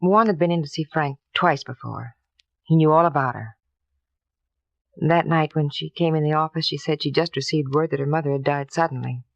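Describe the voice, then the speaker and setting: An actress playing a frightened, defensive American doctor's wife, earnest and halting, in a 1950s radio drama. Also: female voice, low-pitched, no echo. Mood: haunted, confessing. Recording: dull, dry vintage radio recording.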